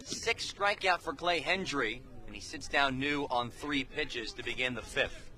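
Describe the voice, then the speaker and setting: A man's voice: mid-range voice, unhurried, pushed and bright. A man calling live baseball play-by-play on the radio in clipped, descriptive phrases from an outdoor ballpark. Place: outdoor ballpark, beside the field.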